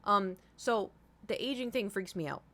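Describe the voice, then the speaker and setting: A woman in her thirties talks as a livestream host in an informal, off-the-cuff way. She rambles through her thoughts in casual chat, doubles back on phrases for emphasis and swears freely. Her pitch sits in an ordinary middle range for a woman. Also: normal speed, bright, forceful tone. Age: 30s.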